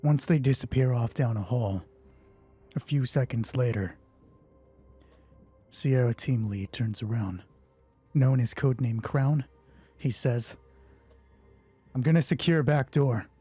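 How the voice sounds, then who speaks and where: A man narrating a solo tabletop roleplay as host, moving between measured storytelling and casual asides. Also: mid-range voice, mellow, husky, soft background music.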